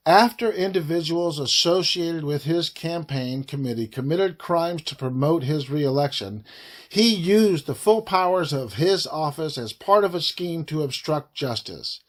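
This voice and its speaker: A man reading a formal report aloud in deliberate phrases, with frequent breaks between them. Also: on the high side, a bit bright. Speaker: reader of a government report.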